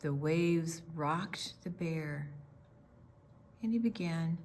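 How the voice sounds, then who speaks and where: A woman reading a children's picture book aloud in an expressive storytime style. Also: deep voice, drawn-out words, slight background noise.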